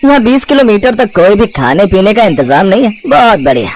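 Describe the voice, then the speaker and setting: A man playing a demanding, scornful travelling merchant with a husky voice in a story. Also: high-pitched, very animated, a little fast, slight background noise. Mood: approving.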